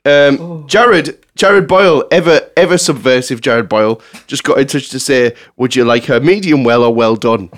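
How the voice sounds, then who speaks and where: A man's voice: medium pitch, normal speed, hardly any pauses. A man hosting a casual comedy podcast, reading out listener submissions and often breaking into laughter as he goes.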